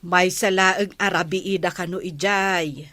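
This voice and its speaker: An actress with an Ilocano accent playing a hospitable village woman who serves food, with a drawn-out, coaxing delivery. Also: lowish voice, fairly even intonation, bright, forceful tone, no room sound. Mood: chatty. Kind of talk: 1950s-style radio comedy acting.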